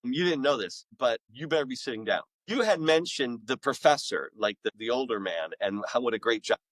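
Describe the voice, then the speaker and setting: Middle-aged man, mid-pitched voice, enthusiastic, emphatic, casual interview storytelling, dry recording. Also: lively intonation, fairly bright tone, no echo, poor audio. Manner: enthusiastic, emphatic storyteller with dramatic pauses and repetition. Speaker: author and voice-acting school director, podcast guest. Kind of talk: casual, animated interview chat.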